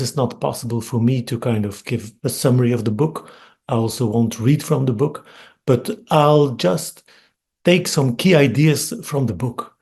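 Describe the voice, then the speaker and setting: A man from Belgium with a Flemish Dutch accent, giving a webinar lecture over a video call, thoughtful and hesitant with frequent pauses and repeated words. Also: medium pitch, dark tone. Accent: Dutch-speaking Belgian. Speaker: academic speaker. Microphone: computer mic on video call.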